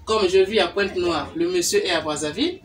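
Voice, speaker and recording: A woman's voice: on the low side, brisk, a crowd in the background. A middle-aged woman with a Congolese accent telling a personal religious testimony in a spontaneous storytelling way. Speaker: church member giving testimony. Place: small living room indoors. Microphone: camera microphone from a short distance. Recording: distant mic, other voices behind.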